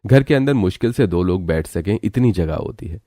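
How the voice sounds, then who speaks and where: Deep-voiced man narrating a story. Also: animated, fairly quick, dark tone, no room sound, clean studio sound.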